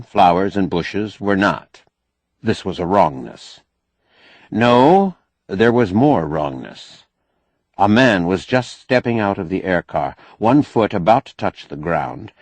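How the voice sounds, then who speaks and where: Deep-voiced male audiobook narrator, very lively intonation. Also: breathy edge, no echo. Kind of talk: scripted narration of a science fiction novel.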